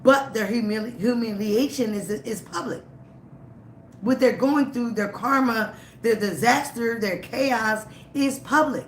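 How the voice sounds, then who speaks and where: A tarot reader giving a live reading, emphatic and repetitive, slightly raspy from a cold. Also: mid-range voice, measured pace, fairly bright tone, roomy sound, a little hiss or hum, poor audio. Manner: emphatic, repeats phrases, frequent "okay?" and "all right?".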